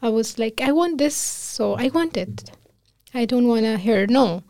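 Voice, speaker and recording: A woman's voice: on the high side, lively intonation, measured pace, a little mellow, no echo. Earnest Afghan-accented woman, dry sound. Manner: earnest, emotional storytelling with quoted speech and laughter. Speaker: guest, physician. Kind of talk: casual interview conversation.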